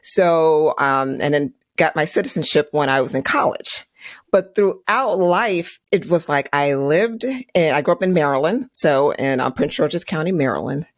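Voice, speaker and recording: Lower-voiced woman guest from Trinidad. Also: normal speed, dry recording, clean studio sound. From Trinidad and Tobago. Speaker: guest, financial advisor. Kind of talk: conversational interview answers.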